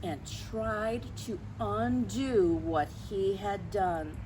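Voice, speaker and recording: A woman's voice: mid-range voice, drawn-out words. A woman hosting a children's storytime, reading a picture book expressively and adding questioning asides to young listeners. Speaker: storytime host. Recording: clearly noisy background.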